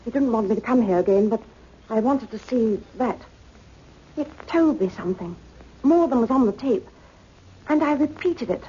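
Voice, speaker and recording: An actress playing an earnest, brave young woman, speaking a little haltingly in a radio drama. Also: a little high, animated, warm tone, close to an everyday voice. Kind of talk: old radio drama acting. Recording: muffled, noisy old-time radio recording.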